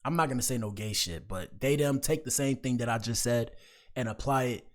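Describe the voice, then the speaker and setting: A man's voice: mid-range voice, brisk, slight background noise. An American man doing casual, slangy livestream commentary, speaking directly to his viewers as he reacts to clips.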